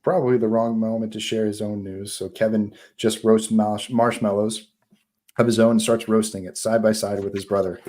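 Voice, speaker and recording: A man with a fairly low voice reads screenplay action and scene headings aloud. He narrates for a live table read. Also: level tone, normal speed, mellow. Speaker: narrator reading stage directions.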